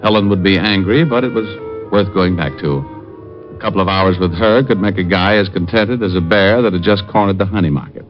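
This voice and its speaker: Actor as a wry private eye, muffled radio. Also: male voice, sits low, brisk, lightly acted. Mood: wry narrating. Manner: wry, dry, hardboiled. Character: wisecracking, cool male private detective narrating his case. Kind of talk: 1940s-50s radio drama narration and acting. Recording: dull vintage radio recording, faint music.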